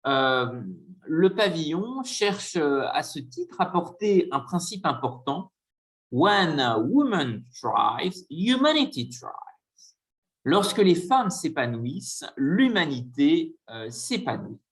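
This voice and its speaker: A man giving a conference lecture with slides, speaking hesitantly with frequent filler pauses. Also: fairly high pitch, big pitch swings.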